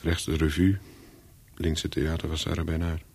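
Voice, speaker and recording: An actor playing a brooding former secret agent in a radio play, husky and measured, haunted by his memories. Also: extremely low pitch, completely flat delivery, a little mellow, full character voice. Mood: brooding, subdued. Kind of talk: radio play acting with first-person narration.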